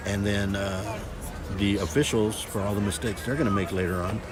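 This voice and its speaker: A middle-aged man hosting a casual livestream of a football scrimmage, loud, talkative and joking. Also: on the low side, fairly even intonation, normal speed. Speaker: livestream sports host. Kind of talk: casual sports commentary chat. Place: outdoors at a football field. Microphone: phone camera. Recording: crowd noise at outdoor game. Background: crowd at a game.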